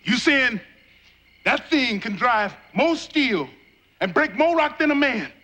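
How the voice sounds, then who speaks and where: An actor playing a proud, defiant, plainspoken railroad laborer of legend in a television drama. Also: male voice, extremely high pitch, sing-song, takes it slowly, sharp tone, exaggerated voice. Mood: challenging. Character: proud, stubborn steel-driving railroad laborer, a folk hero. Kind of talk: television folk-tale drama acting. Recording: faint music behind, old videotape.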